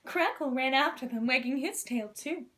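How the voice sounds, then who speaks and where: High-voiced woman reading a storybook as a princess. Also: normal speed. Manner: theatrical, expressive, gentle princess persona. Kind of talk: children's storybook read-aloud.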